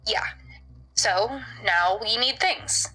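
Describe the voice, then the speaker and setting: A woman playing a pragmatic, unflappable occult researcher, measured and matter-of-fact in a naturalistic audio drama. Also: a little high, pushed and bright, faint music behind, exaggerated voice, low-quality recording. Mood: thoughtful.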